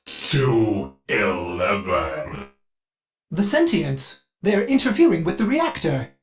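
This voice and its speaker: A man's voice: a little high, fairly bright tone, exaggerated voice. A male actor voicing a devoted, anxious ship AI for a video game, speaking formally and theatrically through glitchy synthetic processing. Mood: menacing, furious, then urgent. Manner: theatrical, earnest, formal servile phrasing. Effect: synthetic glitchy robot voice processing. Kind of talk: video game character dialogue.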